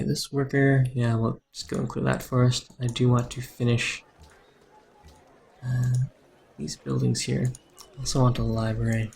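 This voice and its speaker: A man with a soothing voice streaming a strategy game live, calmly explaining his moves and answering chat. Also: mid-range voice, vocal fry.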